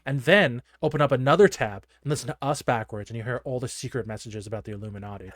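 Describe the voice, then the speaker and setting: A man talks casually as a podcast co-host, in a middle-pitched voice. His delivery is chatty and loose, with a giggly streak. The sound is dry, with very little room around it. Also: quick pace, no room sound. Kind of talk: casual discussion of a horror anime episode.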